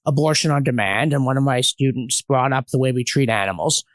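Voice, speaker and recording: A man's voice: medium pitch, brisk, dry recording. A middle-aged man speaking as a podcast guest, giving opinionated commentary in an emphatic, articulate, didactic way. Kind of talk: opinionated conversational discussion with anecdotes.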